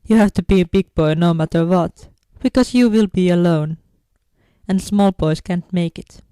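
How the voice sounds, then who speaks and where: Woman voicing a hoarse, tender dying father. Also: on the low side, normal speed, warm tone, no room sound, lightly acted. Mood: tender, grave. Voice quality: hoarse whispery.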